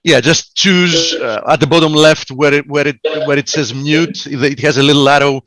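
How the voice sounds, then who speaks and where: A male co-host talking in a friendly but halting manner, full of fillers. His voice sits on the higher side for a man. The sound is dry and close. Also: fairly even intonation, a bit bright, no echo. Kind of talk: casual webinar chat.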